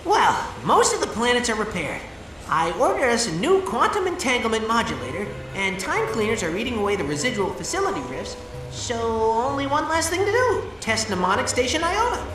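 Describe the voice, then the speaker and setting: An actress playing an upbeat, friendly caretaker in a video game, chatty and playful. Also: female voice, a little high, big pitch swings, fairly quick. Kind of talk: animated video game cutscene acting. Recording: roomy echo with music behind.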